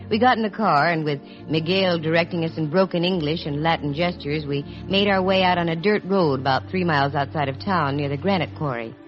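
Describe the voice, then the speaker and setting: An actress playing a sharp, composed female detective who narrates in a brisk, matter-of-fact way, in 1940s radio acting style. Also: low voice, lightly acted. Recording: dull lo-fi vintage radio recording, faint music.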